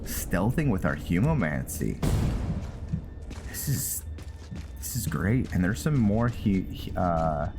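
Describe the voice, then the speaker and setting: A man's voice: deep voice, big pitch swings, slow, long pauses. A man giving casual let's play commentary, thinking aloud in short, muttered reactions as he plays. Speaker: gaming video host. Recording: loud music under the voice. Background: loud game music.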